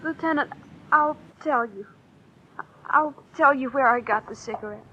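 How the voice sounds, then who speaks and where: A high, girlish teenager answers guardedly, defiant and frightened at once. The old radio drama recording is dull, with faint noise behind her. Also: normal speed, sharp tone. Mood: reluctant. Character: defiant, frightened sixteen-year-old girl under arrest.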